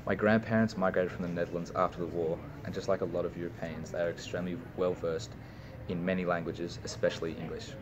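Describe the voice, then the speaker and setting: Young Australian man with a deep voice. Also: little pitch movement, quick pace, noisy background. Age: young adult. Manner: reads a prepared speech with small slips and restarts. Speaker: university student. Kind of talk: prepared personal speech.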